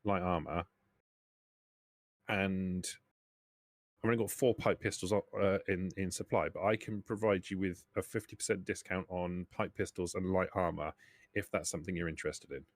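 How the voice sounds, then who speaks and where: Low-voiced man running a tabletop game. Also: steady tone, normal speed, dry recording, studio quality. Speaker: game master. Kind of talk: improvised tabletop game narration and negotiation in a trader's role.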